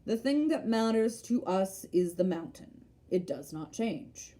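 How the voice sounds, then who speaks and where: A woman's voice: medium pitch, animated, measured pace, warm tone, lightly acted. Woman playing a pompous, pedantic old geographer. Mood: matter-of-fact. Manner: pompous, emphatic lecturing. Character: self-important, pedantic old male geographer.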